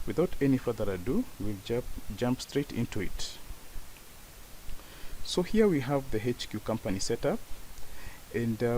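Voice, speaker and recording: A man narrating a software tutorial as an instructor, explaining each step in turn. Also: mid-range voice, rough recording. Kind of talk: software tutorial screen walkthrough. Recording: hissy voice-over with faint background noise.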